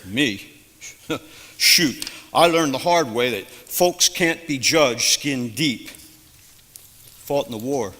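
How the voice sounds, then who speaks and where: An actor playing a middle-aged drugstore owner and war veteran from the early sixties, folksy and prone to ranting. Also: male voice, medium pitch, animated, very slow, long pauses, bright, forceful tone, heavily acted. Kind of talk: student stage play. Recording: reverberant hall.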